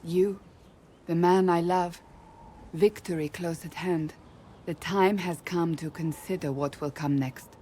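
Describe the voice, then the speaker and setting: An actress as an earnest warrior woman from a fantasy game. Her voice is fairly low, measured and formal, over faint ambient noise. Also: fairly even intonation, dark tone, close to an everyday voice. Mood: tender, earnest. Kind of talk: video game character acting.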